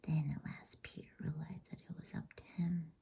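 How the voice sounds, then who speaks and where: A woman reading a bedtime story in a soothing, breathy ASMR whisper, hushed and unhurried. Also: low-pitched, level tone.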